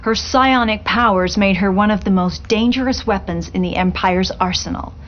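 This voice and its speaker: A woman playing a polished television reporter in a video game, delivering a grave special report in a measured, articulate way. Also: medium pitch, lo-fi sound, natural voice. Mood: grave, ominous.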